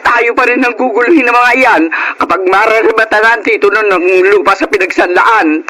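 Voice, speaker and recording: A woman's voice: a little high, a little fast, sharp tone, a little hiss or hum, low-quality recording. A Filipino woman acting an indignant middle-aged wife and mother in an emotional radio drama, scolding and fed up with her in-laws. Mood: indignant, upset.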